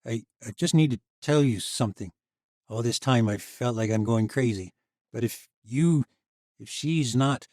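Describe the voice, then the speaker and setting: A man's voice: mid-range voice, a little slow, darkish tone, dry recording, clean studio sound, lightly acted. A man voicing a weary, haunted young man who speaks hesitantly and pleads, in an audiobook. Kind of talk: audiobook character voice.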